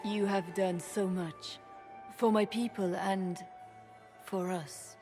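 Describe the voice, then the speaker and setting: A woman's voice: medium pitch, fairly even intonation, slow, over music. A woman playing a regal, commanding empress in a fantasy video game, with a formal, stately and imperious manner. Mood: grateful, soft. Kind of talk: dramatic video game acting.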